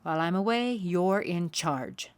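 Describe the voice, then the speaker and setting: A woman's voice: fairly low pitch, unhurried, smooth, dry recording, close to an everyday voice. A woman voicing a wise old father owl in a storybook, stern and instructive, with emphatic delivery. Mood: hurried concern.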